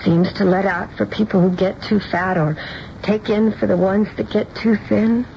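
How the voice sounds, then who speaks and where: An actress playing a dramatic young bride-to-be daughter in a radio drama, expressive and emphatic in delivery. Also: female voice, mid-range voice, moderate pace, gravelly, lightly acted. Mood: resigned, softening. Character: dramatic young bride-to-be daughter, petulant but soft-hearted. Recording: dull, noisy old radio recording.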